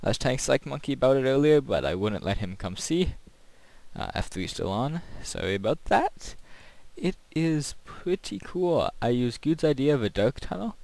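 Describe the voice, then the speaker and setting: A man's voice: medium pitch, very animated, moderate pace, gravelly, a little mellow, no room sound. A man casually talking through his builds and play as a gaming video host, in a rambling commentary.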